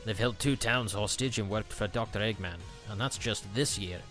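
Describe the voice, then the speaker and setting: A man playing an excitable, gullible prison warden in a comic dub, earnest in his way of talking. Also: fairly low pitch, moderate pace, soft background music, lightly acted. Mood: grave, explanatory.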